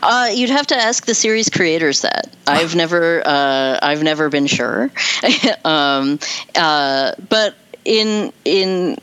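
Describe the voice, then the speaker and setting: A woman's voice: low voice, animated, slow pace, fairly bright tone, clear, resonant tone. A woman giving thoughtful, articulate answers as an interview guest, explaining things in a conversational way. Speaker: interview guest, science fiction writer.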